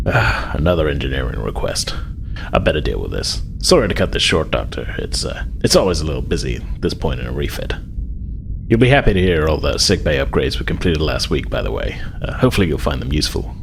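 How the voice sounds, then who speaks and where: A courteous male starship captain played by a man at a game table with a very low voice. He is busy but polite, halting a little as he hands out orders in a role-playing game. Faint noise sits behind him. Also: sing-song, gravelly, full character voice. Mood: polite, businesslike. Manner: polite, halting, mild authority.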